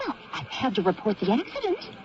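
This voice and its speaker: Naive chatty woman in an old radio comedy ad, high fast voice, noisy dull sound. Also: huge pitch swings, a little mellow. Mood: earnest, chatty. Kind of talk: 1980s radio ad comedy acting.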